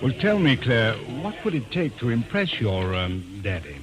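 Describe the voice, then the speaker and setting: An actor playing a boastful, smooth-talking American schemer with a short fuse in an old-time radio drama. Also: male voice, mid-range voice, very animated, normal speed, over music, close to an everyday voice. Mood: eager, boastful. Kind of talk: 1940s-50s radio drama acting.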